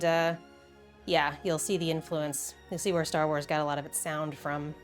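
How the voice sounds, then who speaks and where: A woman hosting a video essay in a casual, conversational and enthusiastic way, with wry asides. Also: lowish voice.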